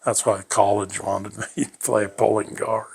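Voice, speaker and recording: Older male interviewee, low-ish voice, rambling folksy storyteller who chuckles often. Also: flat delivery, measured pace, vocal fry, a little mellow, slightly rough. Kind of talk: reminiscing life story.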